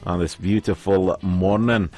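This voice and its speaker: A man's voice: low voice, steady tone, unhurried, darkish tone, soft background music. A man in his thirties hosting a morning radio show, chatting casually and joking with his co-hosts in a studio. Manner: chatty, jokey, puts on silly voices. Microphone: studio microphone.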